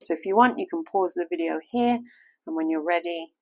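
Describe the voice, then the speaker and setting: A woman leading a guided meditation, giving gentle step-by-step instructions in a soothing, unhurried manner. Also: on the low side, dry recording.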